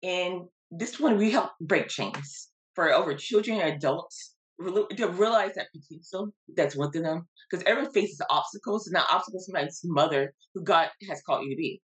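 This is a woman speaking as a podcast guest, a coach who talks earnestly about her work. Her voice is somewhat low and hoarse, since she is losing it. She pauses and searches for words as she goes. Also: rough recording. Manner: earnest, halting with fillers. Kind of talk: interview conversation.

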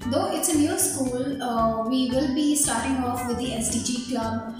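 A woman's voice: high voice, unhurried, music in the background. A woman who is a head of academics, introducing herself with frequent hesitations. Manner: hesitant, many fillers. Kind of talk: spoken introduction. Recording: very echoey room.

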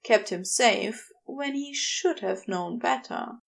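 Slow, creaky-voiced woman reading fiction. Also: fairly high pitch, lively intonation. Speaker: podfic reader. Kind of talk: scripted fiction narration.

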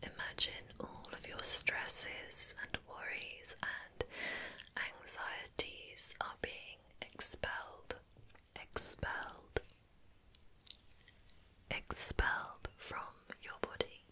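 Very slow whispering ASMR woman. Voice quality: soft breathy whisper. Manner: soothing, gentle, unhurried with repeated words. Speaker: ASMR host guiding relaxation. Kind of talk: ASMR guided relaxation.

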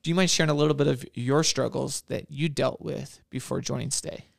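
A man's voice: mid-range voice, steady tone, fairly quick, creaky, dry recording, studio quality. A man hosting a podcast, interviewing guests in a casual conversational style. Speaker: podcast host. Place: office, close dry sound.

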